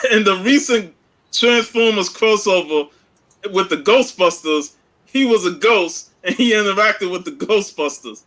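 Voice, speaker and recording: A man's voice: high-pitched, animated, measured pace, a bit bright. A man talking casually on a podcast panel, easygoing and colloquial, often tagging phrases with "you know". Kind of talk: casual chat.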